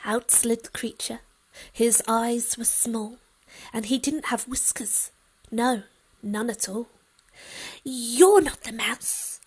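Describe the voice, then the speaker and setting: A woman narrates a children's storybook at a slow pace. Her voice is breathy and fairly high, with dramatic, often hushed delivery. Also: steady tone, rough recording. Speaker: storybook reader.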